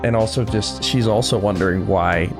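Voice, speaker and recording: A man's voice: fairly low pitch, moderate pace, over music. A man hosting an anime reaction show, commenting casually as he watches.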